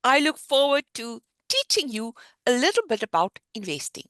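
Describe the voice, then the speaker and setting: A middle-aged woman with a South African accent, teaching the basics of investing in an animated, explanatory way on a webinar. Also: a little high, normal speed, no echo, clean studio sound. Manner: animated, explanatory teaching with a lively sing-song rise and fall. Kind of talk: webinar presentation.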